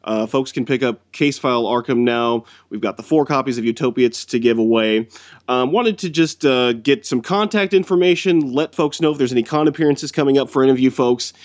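A man's voice: medium pitch, brisk. A man hosting a podcast, wrapping up the episode and thanking his guests in an upbeat way. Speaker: podcast host. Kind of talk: podcast wrap-up.

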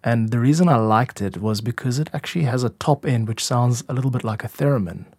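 A man's voice: medium pitch, fast talker, a little mellow, slightly rough, no echo. A man giving an informal, instructive tutorial as a music producer and podcast host. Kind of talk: explanatory tutorial narration.

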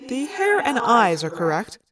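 A woman voicing an earnest, literal-minded young male alien in an audiobook, formal, measured and deadpan. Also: on the high side, recorded from a distance, crowd noise. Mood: puzzled. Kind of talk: audiobook character voice.

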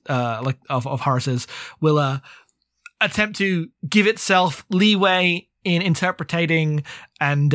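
Male podcast co-host, slightly high-pitched, informal and meandering book talk, close dry sound. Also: fairly bright tone, no echo. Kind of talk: casual chat about a sci-fi book.

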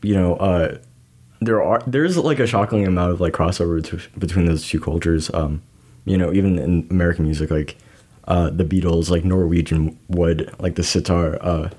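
A man's voice: sits low, normal speed, a little mellow, husky. A young man hosting an interview, rambling through his questions with many fillers and joking at his own expense. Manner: rambling, full of fillers, self-deprecating. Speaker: podcast host.

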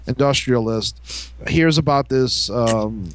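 A man co-hosting a casual podcast, explaining things in a halting way and often repeating words as he goes. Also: medium pitch. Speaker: podcast co-host. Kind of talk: casual banter about comics and shows.

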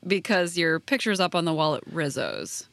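Dry, sardonic school staffer, close-miked. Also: female voice, lowish voice, moderate pace, no echo. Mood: resentful, pressing. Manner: sardonic, deadpan. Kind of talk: naturalistic audio drama acting.

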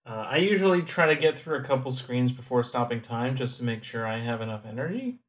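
A man co-hosting a podcast, casually explaining video game tactics in a relaxed way. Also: mid-range voice, brisk. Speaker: podcast co-host.

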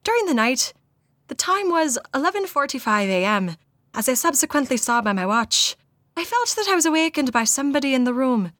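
A woman's voice: sits high, sing-song, a little fast, fairly bright tone, no echo, clean studio sound. Woman putting on an earnest Irish ghost witness. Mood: hushed, earnest. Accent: put-on Irish. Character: earnest guest recounting a haunting, in an Irish accent. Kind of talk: dramatic reading of a first-person ghost account.

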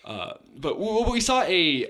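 A man in his twenties co-hosting a sports podcast, his voice polished by radio work. His delivery is bright and animated, rising and falling freely. He keeps the banter quick and self-deprecating. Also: sits high. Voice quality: practiced radio voice.

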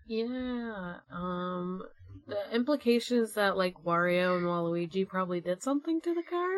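A woman's voice: mid-range voice, animated, normal speed, no room sound. A woman co-hosting a podcast, chatting casually in a loose, conversational way with lots of filler words. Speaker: podcast co-host. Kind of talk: casual chat commentary.

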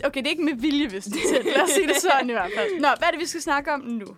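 A woman's voice: high voice, expressive, fairly bright tone, faint music behind. A young adult woman co-hosting casual student radio, talking playfully and informally with a teasing streak in a studio. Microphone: studio microphone.

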